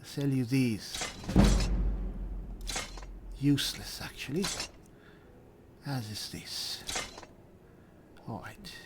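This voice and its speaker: A man thinking aloud in character as he plays a roleplaying game, measured and deliberate, often muttering to himself. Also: medium pitch, heavy background noise. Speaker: gamer narrating a roleplay playthrough.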